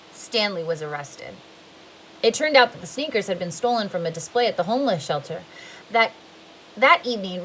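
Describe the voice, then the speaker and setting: A woman with a fairly high voice reads a novel aloud chapter by chapter. Her delivery is plain and unpolished, with the odd stumble where she restarts a word, over a faint hiss in the recording. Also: rough recording. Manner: plain, occasionally restarting words. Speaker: reader.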